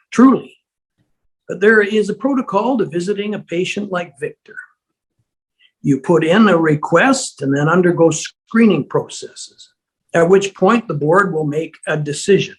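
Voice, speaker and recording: A woman playing a smug, condescending male asylum director with a measured, husky voice in a drama table read. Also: lowish voice, animated, low-quality recording. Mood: politely dismissive.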